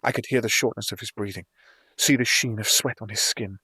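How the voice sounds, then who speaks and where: Man narrating a dark fantasy audiobook, dry recording. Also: lowish voice, steady tone, fairly quick, breathy edge, dry recording. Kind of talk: scripted dramatic first-person fantasy narration.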